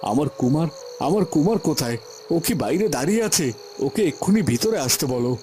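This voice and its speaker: A man's voice: fairly high pitch, big pitch swings, fast talker, mellow, slight background noise. A man playing an anxious, doting king who grieves for his son in a fairy-tale cartoon, emotive and repeating phrases in agitation. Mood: excited, hopeful. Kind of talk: Bengali fairy-tale cartoon dramatic acting.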